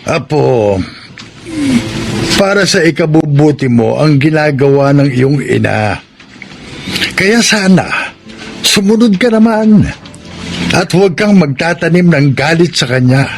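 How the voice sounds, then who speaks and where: Old grandfather, Filipino accent, higher pitched, patient, admonishing, radio drama, faint noise. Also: lively intonation, measured pace, pauses often. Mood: gently admonishing. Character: calm, protective old grandfather, gently admonishing.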